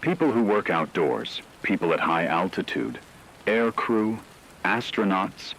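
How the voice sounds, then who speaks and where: Man acting as grave disaster expert, low voice, measured formal delivery, scripted radio drama, faint hiss. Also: lively intonation, fairly bright tone, full character voice, rough recording. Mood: grave, clinical. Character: grave male expert briefing on a global disaster.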